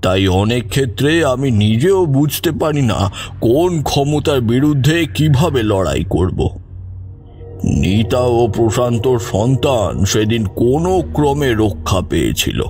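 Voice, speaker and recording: Man acting a grave, slow-spoken grandfather storyteller. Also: medium pitch, sing-song, a little mellow, soft background music. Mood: grave, suspenseful. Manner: grave, measured, suspenseful. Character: grave grandfather recounting his encounters with evil spirits. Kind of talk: slow dramatic horror storytelling.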